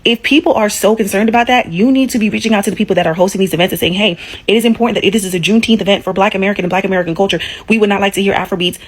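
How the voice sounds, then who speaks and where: A woman from Jamaica shares her opinion in casual, frank talk. She speaks quickly at a medium pitch and stays matter-of-fact. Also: fairly even intonation.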